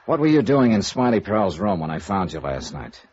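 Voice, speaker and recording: A hard-boiled private investigator from old radio noir. He is brisk and tough, with clipped phrases that swing widely in pitch. It sounds like a dull vintage broadcast recording. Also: very animated, darkish tone, slightly rough, heavily acted. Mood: probing, dry. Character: hard-boiled, no-nonsense male freelance insurance investigator. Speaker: lead actor and first-person narrator. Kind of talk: 1950s radio noir acting.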